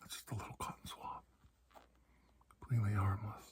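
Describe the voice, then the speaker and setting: A man doing soft-spoken ASMR roleplay as a calm doctor, speaking gently and reassuringly, often dropping into a whisper. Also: fairly low pitch, fairly quick. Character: calm, reassuring male doctor examining a patient. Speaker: ASMR performer playing a doctor.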